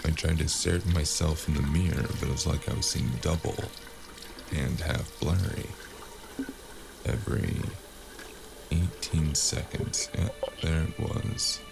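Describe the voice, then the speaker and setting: A man's voice: very deep voice, fairly even intonation, a little slow, vocal fry, music in the background, heavily acted. A man playing a battered, sardonic, world-weary investigator in a cyberpunk noir audio drama. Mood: weary narrating.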